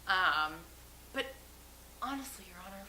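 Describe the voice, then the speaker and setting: A woman playing a flustered, evasive investment banker, halting and formal as she testifies in a comedic courtroom monologue. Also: on the low side, lively intonation, sharp tone, heavily acted. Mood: nervous, defensive. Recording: roomy echo, noisy background.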